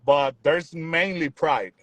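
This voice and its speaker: A young man from Puerto Rico, talking in a relaxed, rambling way as a musician guest on a video call. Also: a little high, takes it slowly, no room sound. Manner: relaxed, rambling, repeats words, says "you know what I'm saying". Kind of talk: casual interview chat.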